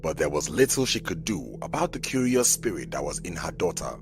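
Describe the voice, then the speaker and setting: A male storyteller with a very deep, gravelly voice narrates a folk tale. His delivery swings expressively up and down as he reads the scripted story. Music plays behind him. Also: sing-song, fairly quick. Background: background music.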